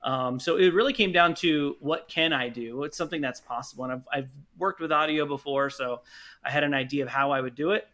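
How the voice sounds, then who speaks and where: A man's voice: a little high, fairly quick, pushed and bright. A man speaking casually and enthusiastically as a guest in an interview. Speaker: podcast guest, audio drama producer.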